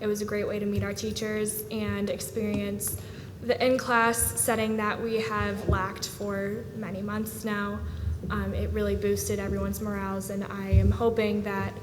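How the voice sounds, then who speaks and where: A young woman in her late teens, the student association president, giving an earnest, steady report to a school board with frequent "um" pauses. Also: mid-range voice, music in the background. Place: school board meeting room. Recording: reverberant room with steady background noise.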